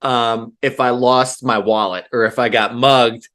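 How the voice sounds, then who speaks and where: A man's voice: medium pitch, fairly even intonation, unhurried, a bit bright. A man hosting a podcast interview, easygoing and affirming, with stammered starts to his questions. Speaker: podcast host.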